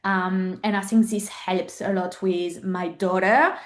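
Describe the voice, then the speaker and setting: A woman from France speaks English with a French accent, her voice sitting at an ordinary middle pitch. She talks earnestly and openly as a podcast guest, often stopping on fillers while she searches for words. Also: steady tone, takes it slowly. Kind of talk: candid interview conversation.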